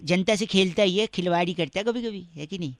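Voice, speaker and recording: A man's voice: sits high, brisk, no room sound. A man voicing a cheeky, pestering young prankster, teasing people on a radio prank call with mock politeness. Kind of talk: comic prank call banter with riddles.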